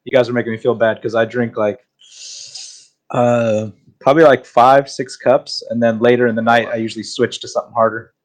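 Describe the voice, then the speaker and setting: A man in a casual online chat, relaxed and hesitant, with many fillers. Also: mid-range voice, brisk. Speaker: guest.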